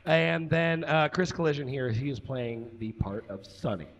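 A man hosting a live comedy show, casually introducing the next piece in a halting, self-deprecating, joking way. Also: medium pitch, animated. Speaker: show host. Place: small indoor comedy stage. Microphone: stage microphone on stand. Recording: muffled live stage microphone.

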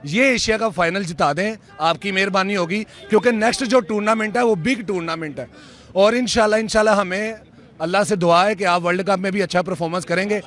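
Young male fan, high, bright, fervent and preachy delivery. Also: quick pace. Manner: fervent, preachy, often invokes God. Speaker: cricket fan interviewee. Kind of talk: impassioned street opinion. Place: outdoors at night in a crowd. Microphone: handheld mic held by interviewer.

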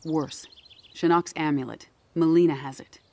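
A woman's voice: low voice, fairly even intonation, normal speed, a little mellow, dry recording. Female military commander, stern, determined, blunt, businesslike, game cutscene. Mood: urgent, frank. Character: stern, determined female special forces general. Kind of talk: video game cutscene acting.

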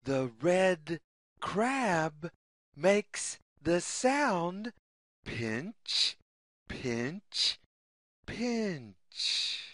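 Very slow, sing-song woman reading to children. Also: on the low side, very animated, a bit bright, dry recording, studio quality. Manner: slow, deliberate, sing-song with short separated phrases. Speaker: children's story reader. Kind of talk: read-aloud picture book narration for young children.

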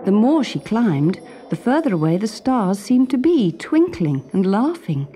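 A woman with a British accent reading a children's story as an expressive narrator. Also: mid-range voice, unhurried, slight background noise.

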